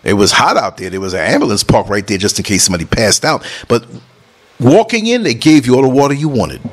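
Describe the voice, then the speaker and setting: A man's voice: big pitch swings, fast talker. Emphatic New man preaching unity. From New York City. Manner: emphatic, preachy, jumps between high and low tones. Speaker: vlogger and podcast host. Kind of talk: casual talk over concert footage.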